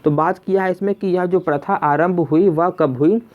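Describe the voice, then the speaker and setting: A man's voice: high voice, fairly even intonation, moderate pace. A man presenting a spoken exam quiz lesson, conversationally repeating each question before giving the answer. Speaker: quiz teacher and video host. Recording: dull lo-fi voice recording.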